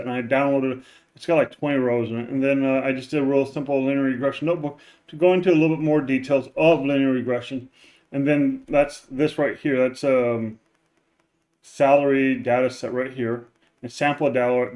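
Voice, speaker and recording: A man teaching as a professor in a loose, informal recorded lecture, with many fillers and restarts, his voice run through a neural normalizing filter. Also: darkish tone. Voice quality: says his voice is very deep. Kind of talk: casual recorded class lecture.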